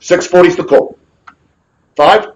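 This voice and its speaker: Booming New Zealand male auctioneer. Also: dull audio. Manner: big booming voice, rapid rhythmic bid-calling with quips. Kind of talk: live property auction calling. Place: auction room with bidders present.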